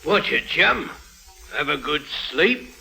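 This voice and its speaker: A big, friendly Cockney guard from an old radio play. His voice is very sharp and bright and sits fairly high. He talks in chummy slang, through the hiss of a 1950s recording. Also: very animated, slow pace, creaky, heavily acted, low-quality recording. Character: big tough but amiable Cockney guard. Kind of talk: 1950s radio drama acting.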